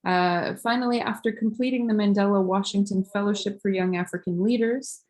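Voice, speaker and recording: A woman moderator introducing a guest on a webinar over a video call, measured and polite, with frequent fillers. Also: medium pitch, steady tone, darkish tone, rough recording.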